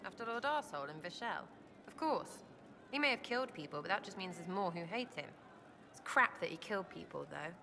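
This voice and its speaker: A woman's voice: on the low side, lively intonation, fairly quick. Brash British rebel girl, noisy background. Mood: cheeky, blunt. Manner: cheeky, blunt, slangy, choppy phrasing. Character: brash, irreverent, streetwise young female rebel troublemaker. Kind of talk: video game dialogue acting. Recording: clearly noisy ambient background.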